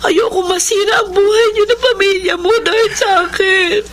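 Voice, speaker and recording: A woman's voice: very high-pitched, steady tone, moderate pace, background noise. A woman playing a wronged but forgiving mother in an earnest, emotive style of Filipino radio drama. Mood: tearful, resolute. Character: wronged, forgiving, devoted mother who sells rice cakes. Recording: radio drama with music underneath.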